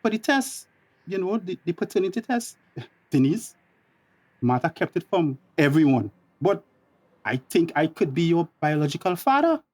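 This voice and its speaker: A middle-aged Tobagonian uncle in a radio play, with a widely swinging pitch. He is combative and guarded, talking in sharp-tongued island dialect. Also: very animated, moderate pace. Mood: hesitant revealing. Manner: mocking, confrontational dialect speech. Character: combative, taunting family uncle guarding a secret. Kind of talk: radio drama acting.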